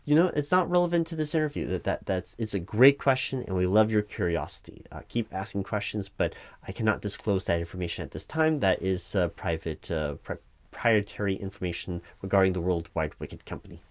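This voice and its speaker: Low-voiced man as a flustered, stammering interviewer. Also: expressive, a little fast. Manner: stammering, rambling, officious. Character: flustered male corporate hiring manager, distracted and evasive. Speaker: performer playing a job interviewer. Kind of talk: one-sided comedic ASMR interview roleplay.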